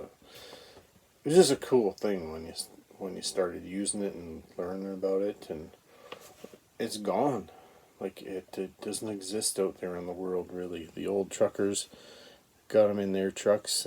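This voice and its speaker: A middle-aged Canadian man, a comedian hosting his show, talking casually to viewers in a halting, rambling, informal way. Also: sits low, gravelly, darkish tone, a little hiss or hum. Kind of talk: casual vlog talk to viewers.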